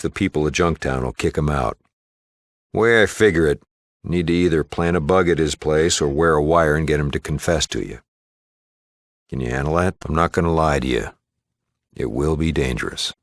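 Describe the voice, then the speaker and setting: A small-town lawman and shopkeeper in a video game. His American voice is extremely deep, with a heavy gravelly creak, recorded close and dry. He talks plainly and gruffly, in a folksy, matter-of-fact way. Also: dry recording, exaggerated voice. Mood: earnest, businesslike. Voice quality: gravelly, low. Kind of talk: video game character dialogue.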